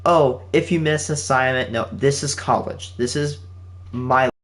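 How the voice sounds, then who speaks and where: Young man, eighteen, casual update, rambling, restarts a lot, middle pitch. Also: a little slow, fairly bright tone. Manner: rambling, with frequent restarts and fillers. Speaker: channel host. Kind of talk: casual spoken update to viewers. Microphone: home setup with game console running nearby.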